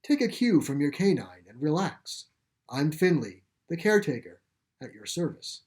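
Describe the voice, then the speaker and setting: A man's voice: on the high side, big pitch swings, darkish tone. Man voicing a courteous middle-aged sanctuary caretaker. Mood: reassuring. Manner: courteous, unhurried, reassuring, quaintly formal. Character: genial middle-aged male sanctuary caretaker, retired veterinarian.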